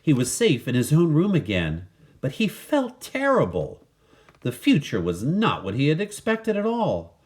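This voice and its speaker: A man reading a children's story aloud in expressive scripted narration. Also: fairly high pitch, a little slow. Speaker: storybook reader.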